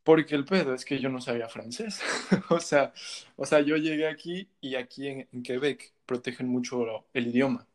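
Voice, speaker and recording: A man's voice: medium pitch, normal speed. A young man from Mexico with a Mexican Spanish accent, a guest musician telling his story in casual, slangy chat full of fillers. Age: young adult. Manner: rambling, slangy, frequent fillers.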